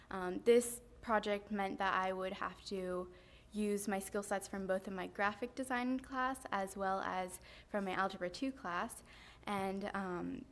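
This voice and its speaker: Earnest teenage girl at a podium. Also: mid-range voice. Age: teens. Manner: earnest, steady, many um fillers. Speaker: high school student speaker. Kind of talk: prepared public remarks. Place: school board meeting room, indoors. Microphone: podium microphone.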